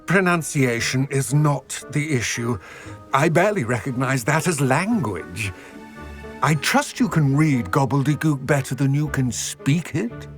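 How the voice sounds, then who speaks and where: Wary male goblin, played by a man, mid-pitched, stern and formal, fantasy game dialogue over music. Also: expressive, measured pace, close to an everyday voice. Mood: stern, guarded. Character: grave, wary adult male goblin, mistrustful of wizards. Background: background music.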